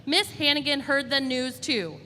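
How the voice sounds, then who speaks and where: A woman storyteller reading a children's storybook aloud in an expressive, deliberate way. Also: high voice. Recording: reverberant hall, faint background noise.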